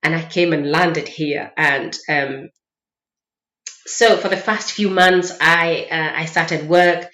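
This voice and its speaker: A woman's voice: low voice, fairly even intonation, slow, fairly bright tone. A woman with a Kenyan accent telling personal stories in a casual, chatty vlog style. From Mombasa, Kenya. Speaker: vlogger sharing personal experiences. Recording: roomy echo, dull lo-fi sound.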